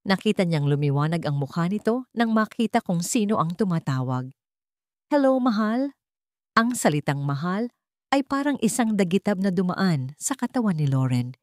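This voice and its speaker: A woman's voice: lowish voice, darkish tone, no echo, clean studio sound. A woman reading a scripted dramatic story as an audiobook narrator.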